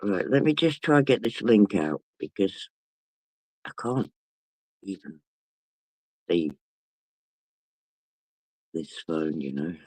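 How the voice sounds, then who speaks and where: A man's voice: low-pitched, very animated, slow pace, pauses often, darkish tone, no echo. A man from England with a British accent, casually chatting with viewers on a late-night phone stream because he can't sleep. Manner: chatty, greets viewers by name. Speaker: livestream host.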